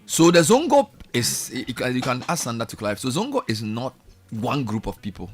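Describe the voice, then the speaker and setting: A man with a Ghanaian accent co-hosting a casual studio chat, animated and repeating himself as he explains. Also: mid-range voice, brisk, husky. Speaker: show co-host.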